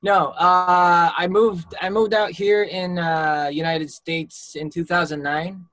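A man from Hong Kong talks in a slightly high, soft voice, modest and a little hesitant. His sentences often trail off quietly. The recording is dry and close. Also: fairly even intonation, takes it slowly, no echo. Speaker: singer guest. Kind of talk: casual interview.

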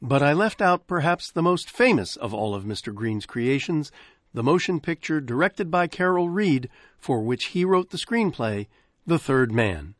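A man introducing a vintage radio program from a studio, speaking in a measured, articulate way. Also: a little high, no room sound. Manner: measured, articulate, lively delivery. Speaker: radio host. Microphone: close studio microphone. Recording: dry close studio sound.

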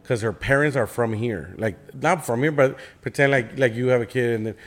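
Slangy Mexican-American man, medium pitch. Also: brisk. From United States, Mexican parents. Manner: informal, slangy, teasing. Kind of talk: casual chat mixing English and Spanish.

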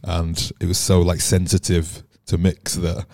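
A man in his early thirties chatting as a podcast guest, relaxed and chatty as he tells stories. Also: sits low, unhurried, dry recording, studio quality. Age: 30s. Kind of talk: casual chat.

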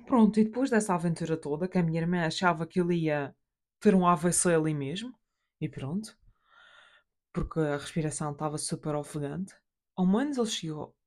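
A young woman with a Portuguese accent telling family anecdotes alone in a casual, animated way, with slang and swearing. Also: fairly low pitch. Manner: animated, sweary, slangy, acts out quoted relatives. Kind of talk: casual solo storytelling.

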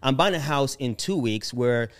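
A man in his late thirties, born in Jamaica but without the accent, telling his story as an interview guest with lively laughs. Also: medium pitch, moderate pace, faint music behind. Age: 30s. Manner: animated, laughs often, expressive storyteller. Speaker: guest, real estate investor.

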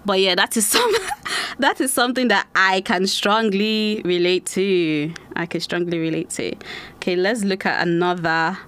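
A woman's voice: medium pitch, measured pace, fairly bright tone, clean tone. A young woman from Nigeria with a hybrid Nigerian and British-leaning accent, hosting a casual solo podcast, chatty and laughing often. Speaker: podcast host.

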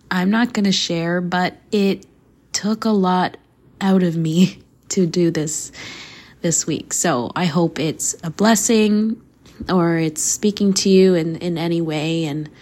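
A woman in her thirties, hoarse from a flu, hosting a faith podcast in an earnest, casual way, laughing easily and dropping to a soft near-whisper. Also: fairly low pitch. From Canada. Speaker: podcast host.

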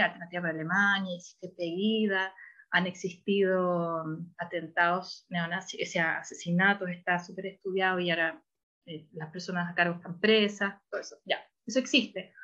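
A woman's voice: on the low side, fairly bright tone, muffled recording. A woman, a researcher answering interview questions in a thoughtful, self-correcting way. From Chile. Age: 40s. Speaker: researcher guest.

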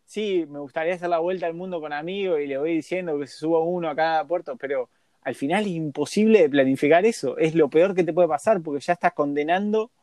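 A man's voice: on the high side, fast talker, a little mellow, no echo. A man, chatting agreeably and sharing anecdotes in a casual conversation.

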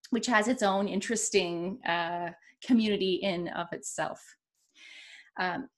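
A woman hosts an interview podcast, her voice sitting at an ordinary middle pitch. She talks earnestly and loosely, restarting sentences and leaning on fillers as she sets up her questions. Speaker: podcast host. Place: rural region of Ontario, Canada.

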